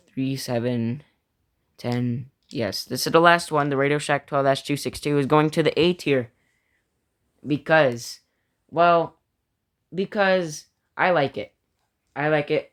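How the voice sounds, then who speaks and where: A man's voice: on the high side, a bit bright, smooth. A man giving casual, unscripted review commentary, thinking aloud hesitantly and often repeating his phrases. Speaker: video creator ranking a personal collection.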